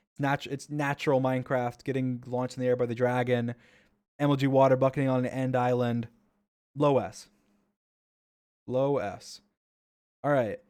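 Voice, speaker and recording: A man's voice: mid-range voice, darkish tone, clean tone. A man casually commenting on his own gameplay clips during a stream, rambling and repeating himself with enthusiasm.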